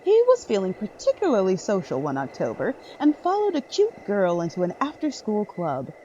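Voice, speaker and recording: A woman's voice: mid-range voice, wildly swooping pitch, moderate pace, mellow, highs cut off, a little hiss or hum. A woman reading scripted narration for a dramatic horror story.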